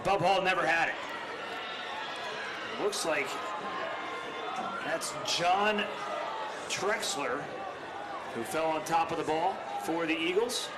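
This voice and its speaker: A man calling high school football play by play in a brisk, factual manner. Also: a loud crowd. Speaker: play-by-play commentator. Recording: noisy live stream audio.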